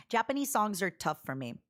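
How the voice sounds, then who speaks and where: A woman's voice: mid-range voice, fairly bright tone. A woman from Ukraine with a Russian accent, streaming a casual reaction, giggly and self-deprecating, now and then squealing in delight.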